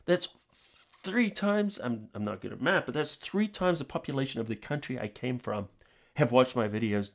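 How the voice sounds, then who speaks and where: Casual New man talking to viewers. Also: mid-range voice. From New Zealand. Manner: informal, rambling, addressing viewers directly. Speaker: tutorial channel host.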